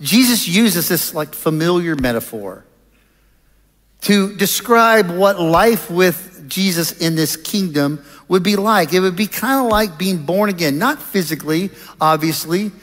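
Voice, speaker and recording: Middle-aged male chapel preacher, slightly high voice, deliberate and explanatory, reverberant auditorium. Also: expressive, fairly bright tone. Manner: measured, deliberate delivery with pauses. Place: large auditorium stage. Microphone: headset microphone. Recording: reverberant hall sound.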